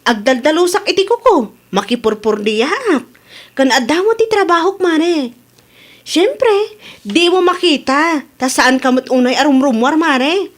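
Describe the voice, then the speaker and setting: A woman acting a high-voiced, chatty Ilocano village mother. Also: expressive, a little slow. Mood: cheerful, frank. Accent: Filipino, Ilocano. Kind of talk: Ilocano radio comedy drama acting.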